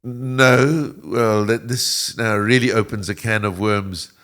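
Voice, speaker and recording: Older man, low voice, chuckling. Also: steady tone, slow, a bit bright. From Egypt, raised in South Africa. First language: Italian. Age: 70s. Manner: chuckles often, rambling with restarts. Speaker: guest. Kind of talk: interview conversation.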